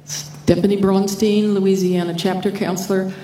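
A woman, a chapter councilor, reading a prepared statement aloud in a steady, formal way at a floor microphone in a large meeting hall. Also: mid-range voice, normal speed. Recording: echoey hall, distant mic, faint noise.

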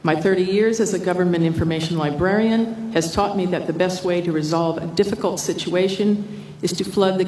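A woman with a lowish, mellow voice reads a prepared statement in a steady, formal manner at a meeting's floor microphone. Her voice sounds distant in a roomy hall. Faint noise sits underneath. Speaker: chapter councilor.

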